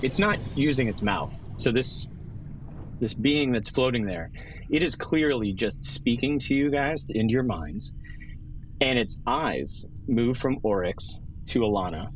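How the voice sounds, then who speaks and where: A man narrating a tabletop roleplaying game as game master, descriptive and pausing for dramatic effect. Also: medium pitch, lively intonation, muffled recording, a little hiss or hum. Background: music bed.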